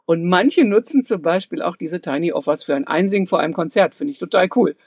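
A woman's voice: fairly low pitch, fairly quick, creaky, a little mellow, husky, dry recording. A woman who works as a voice coach, giving an enthusiastic podcast monologue of business tips. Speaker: voice coach for singers.

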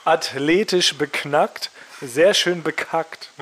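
A man's voice: fairly high pitch, takes it slowly, fairly bright tone. A man hosting a casual comedy podcast, talking in a jokey, mock-boastful way. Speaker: podcast host.

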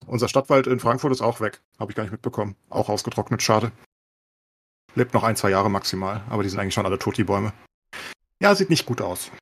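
A man with a lowish, rather husky voice chats casually as a podcast host. He speaks quickly and colloquially, leaning on sardonic understatement. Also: expressive, a bit bright.

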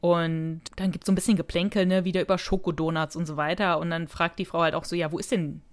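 A woman's voice: fairly low pitch, little pitch movement, fast talker. A woman co-hosting a podcast in casual conversation, chatty and colloquial, often giggly as she talks. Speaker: podcast co-host. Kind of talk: casual chat and plot retelling.

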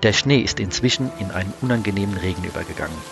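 Deep guy narrating a radio drama, scripted, music behind. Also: rapid-fire, gravelly.

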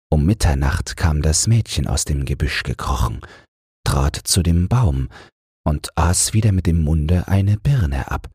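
A man reads a bedtime fairy tale in a calm, soothing manner. His voice is deep and strongly breathy, the pace slow and restful, and the sound dry. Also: no room sound. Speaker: audiobook narrator. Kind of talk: scripted fairy-tale narration for falling asleep.

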